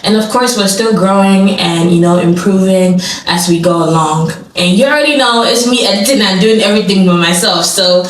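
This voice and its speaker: A woman's voice: medium pitch, fairly quick, few pauses. Chatty young woman in an echoey room. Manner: giggly, chatty, filler-heavy. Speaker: podcast host. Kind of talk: casual chat. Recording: echoey room, distant mic, faint noise.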